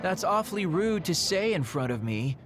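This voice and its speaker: A man's voice: high-pitched, very animated, normal speed. An actor voicing a sly, flippant young male assassin in an anime game dub, theatrical and mock-offended. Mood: mock hurt. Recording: music behind the voice.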